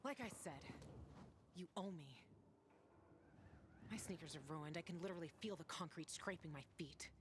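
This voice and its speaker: A woman's voice: low voice, moderate pace, long pauses, lightly acted. An actress playing a tough young woman survivor in a video game, brusque, sarcastic and prickly. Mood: grumpy. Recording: game audio with clear ambient noise.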